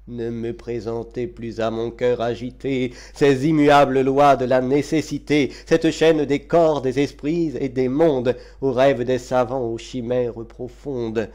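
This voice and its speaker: A man giving a dramatic recitation of classical verse, with solemn, declamatory and deliberate phrasing. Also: mid-range voice, fairly even intonation, darkish tone. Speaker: reader of poetry.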